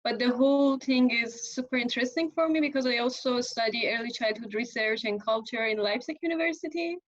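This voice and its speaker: A woman's voice: fairly high pitch, a little fast. A young adult woman, a native Farsi speaker, chatting casually as a workshop participant on a video call. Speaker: workshop participant, university student. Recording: dull lo-fi video call audio.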